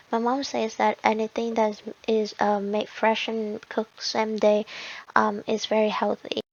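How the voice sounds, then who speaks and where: A woman's voice: mid-range voice, dull audio. A woman from Vietnam with a Vietnamese accent, giving an informal class presentation in a simple, somewhat hesitant way. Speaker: student presenter.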